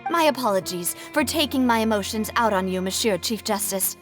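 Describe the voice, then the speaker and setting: An actress playing a spirited, proud and determined young lady in a video game, speaking in an earnest, confident, expressive way. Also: fairly high pitch, a little fast, over music, natural voice. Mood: bitter, then composed. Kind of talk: video game cutscene acting.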